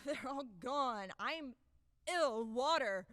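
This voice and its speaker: A woman's voice: high voice, expressive, takes it slowly, fairly bright tone, no room sound, big-room sound, full character voice. Woman acting a timid, fretful old manservant. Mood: frightened, faint. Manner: fretful, imploring. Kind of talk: audio play of a classic stage farce.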